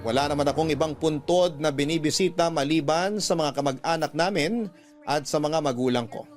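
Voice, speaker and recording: A man's voice: on the high side, moderate pace, soft background music, close to an everyday voice. An actor playing a troubled young man who recounts his story reflectively, as a letter in a Filipino radio drama. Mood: puzzled, reflective. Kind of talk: first-person dramatic narration of a radio letter.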